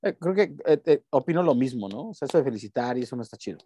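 A man's voice: medium pitch, animated, very fast, mellow, breathy edge, no room sound, studio quality. Slangy young Mexican guy chatting. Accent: Mexican Spanish. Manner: slangy, rambling, breaks into bits of song. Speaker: podcast co-host. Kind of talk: casual chat.

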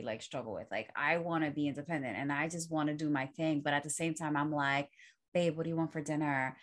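A low-pitched woman hosts a relaxed conversation. She is middle-aged and thinks out loud, circling her points with plenty of "like" and "you know." Her delivery is reflective. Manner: reflective, talks through ideas with fillers. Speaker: podcast host. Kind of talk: casual conversation.

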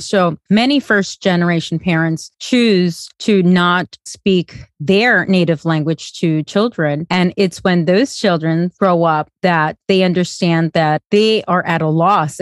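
A woman's voice: fairly low pitch, no echo, studio quality. A woman hosting a parenting podcast, slipping phrases into her while she interviews her guest. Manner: switches into Spanish phrases, eager interviewer. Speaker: podcast host.

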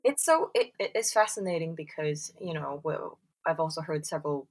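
A woman in casual conversation, talking in an animated way with lots of fillers and laughter. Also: low voice, moderate pace. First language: English. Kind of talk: casual chat.

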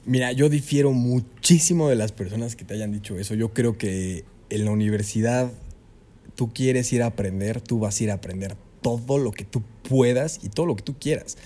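A young man with a Mexican Spanish accent, a student guest telling his story in a casual podcast interview, animated and peppered with "you know?". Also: mid-range voice, normal speed. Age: young adult. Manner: animated storyteller, leans on "¿sabes?".

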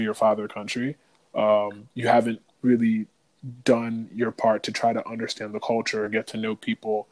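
A man's voice: medium pitch, a little mellow, no echo, rough recording. A man co-hosting a podcast, talking in a casual, reflective and emphatic way with slangy phrasing. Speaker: podcast co-host.

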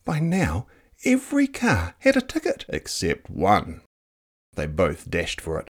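A narrator reading a children's book aloud in a dramatic, playful, expressive style. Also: sits low, measured pace. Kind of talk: expressive storybook read-aloud.

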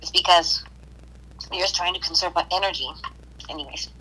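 A woman from Puerto Rico chatting casually on a podcast, hesitant, with restarts and soft laughs. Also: fairly low pitch, fairly quick, husky, low-quality recording. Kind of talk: casual conversation.

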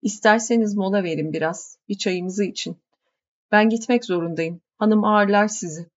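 A woman's voice: mid-range voice, normal speed, highs cut off, close to an everyday voice. A woman voicing a friendly, talkative middle-aged village fisherman who explains things patiently. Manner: explains patiently, like reading from an encyclopedia.

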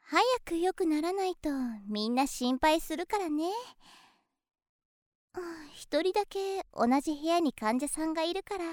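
Very high-pitched, flirty nurse. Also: female voice, darkish tone, no room sound, studio quality. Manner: cutesy, teasing, coaxing, unhurried. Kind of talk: Japanese situation voice drama, close one-on-one address.

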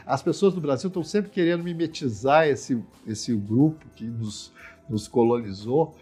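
Brazilian man, Minas Gerais accent, mid-pitched and mellow, informal expert commentary, thoughtful but emphatic. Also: normal speed, slightly rough, soft background music. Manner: reflective, explanatory, emphatic, often checks in with tag questions. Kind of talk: informal spoken commentary on social issues.

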